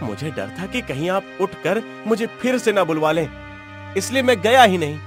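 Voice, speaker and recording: A man's voice: high-pitched, big pitch swings, moderate pace, music in the background. A man playing a humble, loyal wandering musician in a cartoon story, speaking deferentially and formally. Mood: humble, respectful. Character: humble wandering male flute player, loyal subject. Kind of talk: Hindi cartoon story dialogue.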